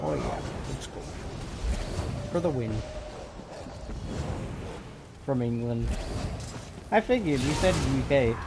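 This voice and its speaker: A man from North Carolina with a North Carolinian accent, chatting casually with viewers as he plays and streams a game, softly clipping the ends of his words. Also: medium pitch, huge pitch swings, slow pace, long pauses. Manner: chatty, reacts to the game while reading chat aloud; clips the ends of words, soft delivery. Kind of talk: casual livestream chat over gameplay. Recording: noisy stream mic with background noise.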